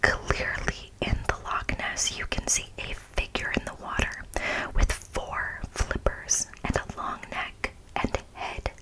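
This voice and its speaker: A woman whispering as an ASMR host, softly reading from a script with casual asides. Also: slow, poor audio. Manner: soft, unvoiced whispering.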